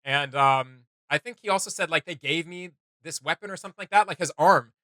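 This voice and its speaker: Guy co-hosting a reaction vid, super bright voice, kinda high, lots of um and uh, laughs a bunch. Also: a little fast, dry recording. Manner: rambling, filler-heavy, laughs often. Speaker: reaction video co-host. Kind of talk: casual reaction chat.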